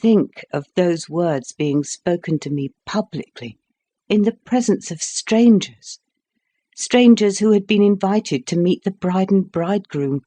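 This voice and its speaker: A weary, wronged young wife, read by a man in an audiobook. Her telling is calm and cold, steady and subdued. The reader keeps close to his own voice. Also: high voice, measured pace. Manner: weary, subdued, evenly told.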